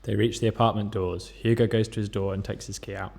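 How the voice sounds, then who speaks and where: A man's voice: lowish voice, little pitch movement, fast talker, creaky, a little mellow. A man reading stage directions as scripted narration for a sitcom table read.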